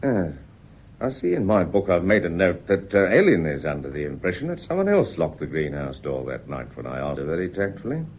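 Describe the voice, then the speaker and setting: An actor playing a courteous, probing British police inspector in a radio mystery play, formal and deliberate in his questioning. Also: low voice, sing-song, a little hiss or hum. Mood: insistent, courteous. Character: probing, measured, courteous male Scotland Yard police inspector. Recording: dull, muffled radio drama recording.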